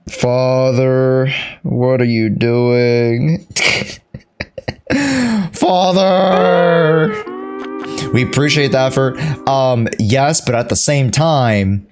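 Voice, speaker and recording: A man giving live commentary on a game as a streamer, jokey, with repeated asides and drawn-out reactions. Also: mid-range voice, darkish tone, faint music behind.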